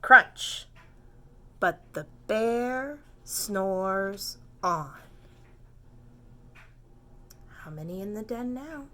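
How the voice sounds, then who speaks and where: A woman teacher reading a picture book aloud to preschoolers, gentle and unhurried, dropping to soft whispers and inviting the children to join in. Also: mid-range voice.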